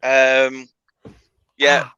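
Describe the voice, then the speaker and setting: A man's voice: mid-range voice, monotone, extremely slow, bright, forceful tone, clear, resonant tone, no echo, lo-fi sound. A man in his late twenties with a northern English accent, hosting a casual video-call chat with laid-back, self-mocking banter. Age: late 20s. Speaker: host.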